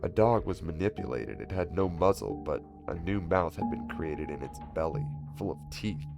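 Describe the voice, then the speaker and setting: A man narrates a dark first-person story in a very deep, gravelly voice. His delivery is dramatic and moves up and down a lot. Faint music plays underneath. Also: animated, moderate pace.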